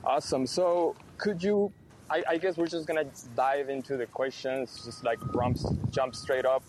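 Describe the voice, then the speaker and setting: A man from Mexico presents an unscripted radio conversation from outdoors. His pitch is average and he often restarts phrases while searching for words. Light street noise sits behind him. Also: fairly even intonation, moderate pace. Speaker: visual artist hosting a radio conversation. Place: outdoors, outside a detention center. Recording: faint outdoor traffic noise.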